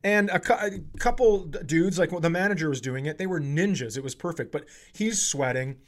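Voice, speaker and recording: Higher-voiced man telling comic stories. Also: brisk. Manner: animated, profane, acts out quotes in his anecdotes. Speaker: podcast host and comedian. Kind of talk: casual comedic storytelling.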